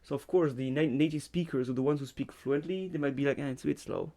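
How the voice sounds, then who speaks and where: A young man, a university lecturer, chatting casually on a podcast and telling animated stories about his students. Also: medium pitch, fast, gravelly. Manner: animated storytelling, acts out his students and himself; frequent fillers and restarts. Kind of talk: casual chat.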